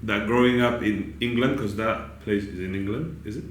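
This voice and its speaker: A man interviewing a guest in a relaxed, hesitant way, asking curious questions with frequent restarts. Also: lowish voice, moderate pace. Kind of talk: casual interview chat. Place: studio room. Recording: echoey room with faint background noise.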